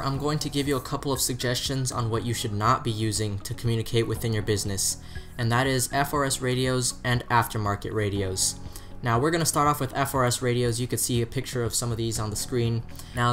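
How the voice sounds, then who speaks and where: American guy doing a scripted product review, medium pitch, bit of guitar music behind him. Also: a bit bright. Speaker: presenter from a two-way radio shop.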